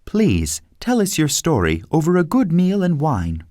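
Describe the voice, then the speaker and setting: A man voicing a bold, cheerful outlaw leader, speaking in a higher, brighter tone than his narration. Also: huge pitch swings, measured pace, no room sound, studio quality. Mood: welcoming.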